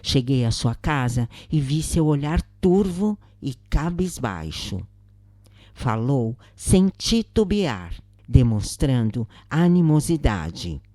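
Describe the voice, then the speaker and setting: A woman with a Brazilian accent reading a scripted story as a narrator, in a measured, deliberate way. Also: extremely low pitch, very animated, dark tone, dry recording. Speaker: narrator of spiritist stories.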